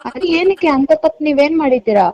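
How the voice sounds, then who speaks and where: A woman's voice: high voice, expressive, fast talker. A woman who takes a job call, questioning the caller insistently over the phone. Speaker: job recruiter taking a call. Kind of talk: phone conversation. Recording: dull phone line, faint noise.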